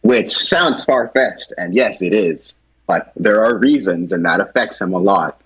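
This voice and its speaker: A man's voice: low-pitched, animated, unhurried, fairly bright tone, slightly rough, rough recording. A man chatting casually as a co-host, with a joking, wry manner. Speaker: co-host presenting an animated series project's characters. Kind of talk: casual chat.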